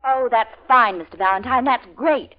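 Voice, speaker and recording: A woman acting a brisk, supportive young secretary in an old radio comedy. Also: on the high side, natural voice. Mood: bright, supportive. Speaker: actor in a radio comedy-mystery. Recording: muffled vintage radio recording.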